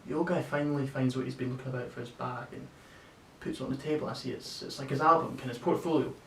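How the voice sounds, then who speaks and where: A nineteen-year-old man playing a sweary, awestruck young lad, telling a story in a halting, conversational stage monologue. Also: steady tone, fairly bright tone, close to an everyday voice. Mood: hushed awe. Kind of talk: naturalistic contemporary stage monologue for an audition. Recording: echoey room, distant mic, noisy rumble.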